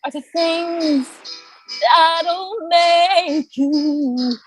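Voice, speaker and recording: A woman's voice: very high voice, extremely slow, music in the background, full character voice, rough recording. A woman playing a loving, protective mother in a musical theatre table read, encouraging her son in speech and song. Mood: encouraging, singing.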